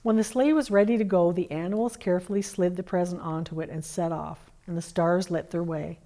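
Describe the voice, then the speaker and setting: A woman's voice: lowish voice, steady tone, brisk, warm tone, lightly acted. A woman playing Santa's kindly, homely wife as a children's holiday storyteller. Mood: storytelling.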